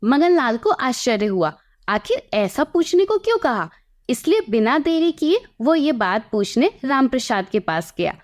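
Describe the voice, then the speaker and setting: A woman with a fairly high-pitched voice narrates a scripted moral story. She speaks as the storyteller, telling the tale in the third person. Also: sing-song, measured pace.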